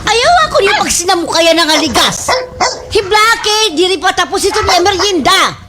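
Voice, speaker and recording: A cranky, impatient village scold played by a woman in a slapstick radio comedy, over clear background noise. The voice is very high and exclamatory, with a Waray Filipino accent. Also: expressive, normal speed, pushed and bright, full character voice. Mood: irritated.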